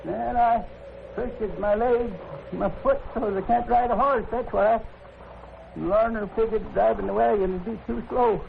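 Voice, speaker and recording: High, creaky, ornery old frontiersman. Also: steady tone, unhurried, exaggerated voice. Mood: bitter, grumbling. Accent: rustic American West. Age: older. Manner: folksy, ungrammatical, ornery. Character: cantankerous, suspicious old buffalo hunter, stranded and vengeful. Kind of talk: 1950s radio western acting. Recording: tinny, faintly noisy 1950s radio recording.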